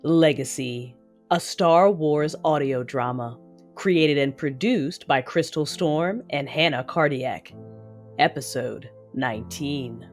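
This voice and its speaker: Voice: mid-range voice, slow, soft background music. An announcer reading a scripted show introduction and promo.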